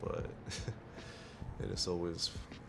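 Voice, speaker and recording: Deep-voiced guy doing chill rambly vlog voiceover, low gravelly tone. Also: flat delivery, drawn-out words, background noise. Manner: laid-back, rambling with fillers. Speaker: vlogger. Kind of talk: relaxed voiceover narration. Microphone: clip-on wireless mic.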